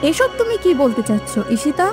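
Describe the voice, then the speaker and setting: A woman voicing a sorrowful, gentle young princess in a cartoon fairytale, earnest and plaintive. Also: very high-pitched, sing-song, a little fast, over music.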